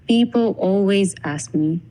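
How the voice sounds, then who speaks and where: Young woman, from the UAE, podcast guest, dark mellow voice, prepared reading, earnest. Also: medium pitch, poor audio. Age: young adult.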